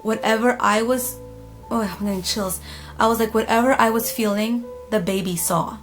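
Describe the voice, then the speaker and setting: A woman telling a spooky personal story casually, in a dramatic, emphatic manner with pauses. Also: fairly high pitch, steady tone. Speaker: storytime vlogger. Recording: music playing behind the voice. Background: background music.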